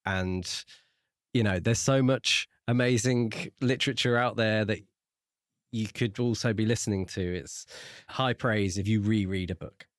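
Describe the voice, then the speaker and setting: A man co-hosting a podcast in casual book discussion, talking in a measured way with pauses mid-sentence. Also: on the low side, no echo, studio quality. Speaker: podcast co-host.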